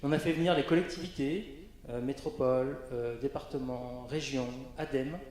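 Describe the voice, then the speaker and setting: A man's voice: mid-range voice, steady tone, moderate pace, darkish tone. A man presenting on a conference panel, hesitant, with frequent fillers and restarts. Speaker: panel speaker. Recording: very echoey conference hall.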